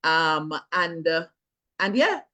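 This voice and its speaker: A middle-aged Jamaican woman with a low, deep speaking voice talks through her career in a relaxed interview. She is animated and laughs readily. Her talk drifts into patois phrasing now and then. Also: slow. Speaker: filmmaker and actress, guest. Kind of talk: casual interview chat.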